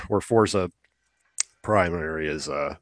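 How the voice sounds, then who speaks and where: A man's voice: sits low, takes it slowly, dry recording, clean studio sound. A young man chatting casually as a podcast co-host, opinionated and rambling, swearing now and then. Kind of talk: casual chat about video games.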